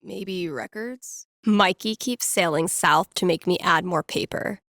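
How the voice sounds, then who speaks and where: A woman's voice: lowish voice, measured pace, a bit bright, no room sound. A woman voicing a wry, laid-back young DJ in a video game, talking casually to herself with dry sarcasm. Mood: dry, amused. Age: young adult. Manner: dry, sardonic, conversational.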